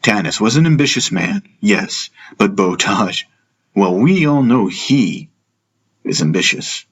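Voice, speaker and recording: A man voicing a sardonic, world-weary king who speaks haltingly to his brother in a fantasy audiobook. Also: on the low side, big pitch swings, husky, lo-fi sound, full character voice. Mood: hesitant, confessional. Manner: sarcastic, halting, hesitant. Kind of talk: fantasy audiobook character acting.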